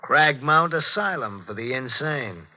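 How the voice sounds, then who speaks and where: An actor with an American accent playing an insolent, casually menacing blackmailer, folksy and insinuating, in 1940s radio style. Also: male voice, medium pitch, lively intonation, measured pace, lightly acted. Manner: folksy, insinuating, clipped phrases. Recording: muffled old radio, faint noise.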